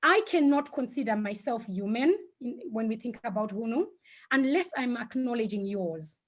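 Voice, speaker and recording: A woman whose first language is Shona, giving an online talk over a video call, with frequent fillers and gentle hesitations. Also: fairly high pitch, expressive, normal speed. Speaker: guest speaker.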